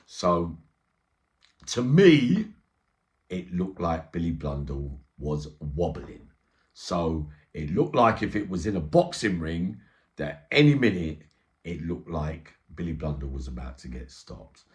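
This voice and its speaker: Very deep-voiced man telling stories. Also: very animated, slow pace, darkish tone. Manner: streetwise, slangy, punctuated with check-in tags. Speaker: storyteller and channel host. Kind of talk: informal first-person eyewitness storytelling.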